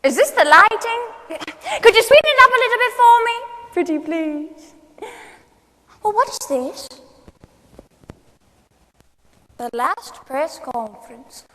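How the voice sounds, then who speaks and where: Aging movie star diva, high voice, fragile n dramatic, darling this darling that, big echoey hall. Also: female voice, lively intonation, moderate pace, pushed and bright, full character voice. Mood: coquettish, flustered. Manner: gushing, dramatic, calls people darling. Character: theatrical aging female film star, coquettish, fragile, grand. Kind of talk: solo dramatic monologue performance. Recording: echoey hall, long reverb.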